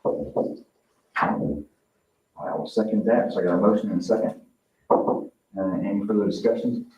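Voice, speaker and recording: Deep, flat-voiced man chairing, muffled and echoey. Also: normal speed. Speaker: board member chairing the meeting. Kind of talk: informal board discussion and procedure. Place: indoor municipal meeting room. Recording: muffled, echoey, noisy room, distant mic.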